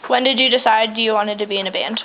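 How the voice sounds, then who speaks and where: A woman's voice: fairly high pitch, fairly even intonation, fast. A young woman, a student interviewer, asking questions in a giggly, casual way. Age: young adult. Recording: dull lo-fi, noisy.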